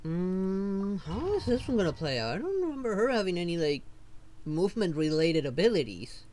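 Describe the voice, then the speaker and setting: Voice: medium pitch, very animated, moderate pace, darkish tone, slight background noise. A streamer giving casual live gameplay commentary, rambling and thinking aloud.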